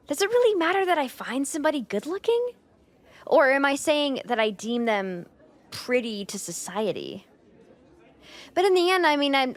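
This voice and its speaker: Shy, flustered young woman, acted by a woman. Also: on the high side. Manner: hesitant, self-conscious rambling with nervous giggles. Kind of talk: roleplay ASMR monologue spoken to the listener.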